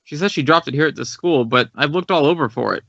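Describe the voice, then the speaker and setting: An American actor playing an earnest, courteous and talkative director of a drama school in an old radio drama. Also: male voice, a little high, quick pace, gravelly, sharp tone, dry recording, exaggerated voice. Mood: puzzled, chatty. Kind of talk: 1950s radio acting. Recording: dull, dry old radio recording.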